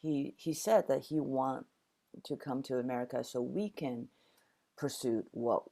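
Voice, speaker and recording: Low-voiced older woman from Taiwan. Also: a little slow, warm tone. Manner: reflective storytelling with frequent restarts. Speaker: guest, dancer and actor. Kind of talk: conversational interview.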